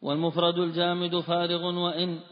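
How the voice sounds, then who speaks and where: A man reading a grammar commentary aloud to his teacher in a steady chanting cadence. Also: high voice, brisk. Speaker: student reading the text aloud. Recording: dull lo-fi recording with long hall reverb.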